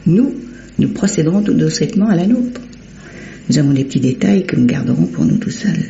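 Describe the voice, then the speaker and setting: Brisk streetwise detective, dull old recording. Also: female voice, sits low, very animated, dark tone. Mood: brisk, scheming. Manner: assured, colloquial, directive. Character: shrewd, brisk, streetwise female amateur detective. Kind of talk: old French radio drama acting. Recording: dull, hissy vintage radio recording.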